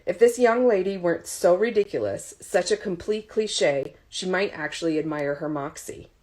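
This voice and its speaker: A woman reading a story aloud as an audiobook narrator, in an expressive scripted style. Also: on the low side, normal speed, low-quality recording.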